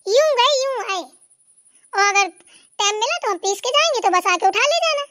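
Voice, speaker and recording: A performer voicing a busy, hospitable older village aunt in a Hindi cartoon, brisk and insistent. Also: very high-pitched, lively intonation, bright, forceful tone, dry recording, studio quality, exaggerated voice. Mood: reassuring. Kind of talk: Hindi family cartoon dialogue.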